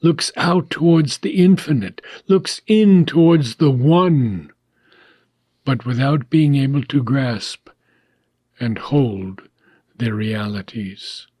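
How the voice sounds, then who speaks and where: A man reading a philosophical text aloud as an audiobook, in a deliberate, measured manner with short phrases and frequent pauses. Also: medium pitch, expressive, a little mellow, husky, no echo. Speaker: audiobook reader.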